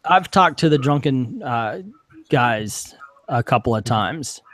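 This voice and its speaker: A man with a country accent mixed with Chicago, rambling with drawn-out vowels and lots of fillers, as a guest on an online chat over a remote call mic. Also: mid-range voice, lively intonation, a bit bright, dry recording. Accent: American country, mixed with Chicago. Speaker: guest and fellow streamer. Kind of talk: casual online discussion.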